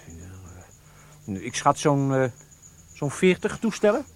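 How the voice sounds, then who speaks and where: An actor playing a curious, canny visitor in a Dutch radio drama, husky and hesitant, politely impressed as he probes. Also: medium pitch, huge pitch swings, measured pace, heavily acted. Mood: hesitant, observing. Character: curious, canny male visitor flattering his host.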